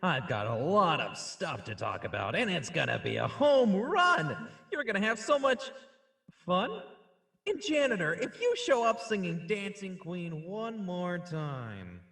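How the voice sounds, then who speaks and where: A man's voice: high voice, very animated, measured pace, creaky, a bit bright, roomy sound, heavily acted. A man playing an upbeat school principal making an announcement in a stage play. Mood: peppy. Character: peppy school principal on the intercom.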